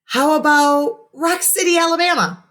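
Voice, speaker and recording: A woman's voice: sits high, moderate pace, a bit bright, soft background music, pitched above the performer's own voice. A woman voicing a chirpy, chiming little girl. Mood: eager. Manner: chiming, squeamish.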